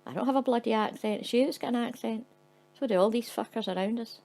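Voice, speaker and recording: A woman's voice: a little high, fast talker, creaky, darkish tone, soft background music, voice pushed up. A woman voicing a foul-mouthed, grumpy Scottish woman tourist with a Scottish accent. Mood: irritated. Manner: swearing, cross and blunt.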